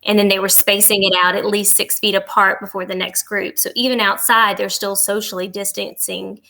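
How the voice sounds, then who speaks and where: A woman's voice: mid-range voice, little pitch movement, normal speed, gravelly, bright, forceful tone, low-quality recording. A woman speaking as a podcast guest, giving conversational answers in an interview. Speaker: podcast guest, travel agent.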